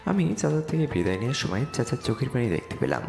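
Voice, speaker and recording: Young man, first-person story narration, animated intonation, long reverb, music behind. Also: mid-range voice, fast, creaky. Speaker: narrator and lead voice actor.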